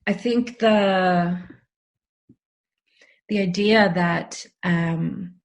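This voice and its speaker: A woman's voice: on the low side, fairly even intonation, very slow. A woman hosting a podcast interview, talking in an enthusiastic, reflective way. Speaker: podcast host. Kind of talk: conversational interview.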